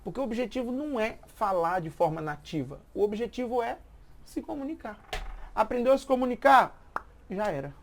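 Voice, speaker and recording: Animated woman explaining language learning. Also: fairly high pitch, fairly quick, slight background noise. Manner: explanatory, repeats points and checks understanding. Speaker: language teacher. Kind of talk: casual live-stream chat.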